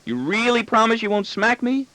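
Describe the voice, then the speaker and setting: A man's voice: extremely high pitch, expressive, normal speed, pushed and bright, no room sound, voice pushed up, exaggerated voice, rough recording. A man voicing a bossy, argumentative small boy with childlike insistence in an audiobook story. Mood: pleading, insistent. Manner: indignant, insistent, childlike pestering.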